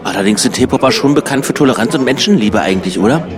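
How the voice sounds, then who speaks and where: A man's voice: mid-range voice, expressive, fast talker, natural voice. A man playing a wry pub-goer and storyteller in a comic radio play. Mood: casual musing. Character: wry man in a pub planning an absurd party. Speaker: narrator and character in a radio play. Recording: music behind the voice. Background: music.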